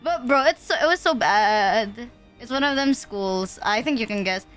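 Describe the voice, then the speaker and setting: A woman's voice: a little high, expressive, moderate pace, fairly bright tone, faint music behind. A young woman from the Philippines chatting casually on a livestream, rambling with lots of fillers and slang. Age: young adult. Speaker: streamer.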